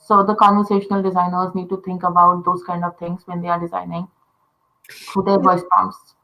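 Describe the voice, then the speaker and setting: Indian-accented woman, Telugu native, lower pitch and bright tone; casual expert guest, filler-heavy delivery. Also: little pitch movement, brisk, low-quality recording. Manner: many fillers, uh and you know. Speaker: conversation design guest. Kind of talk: casual explanatory chat.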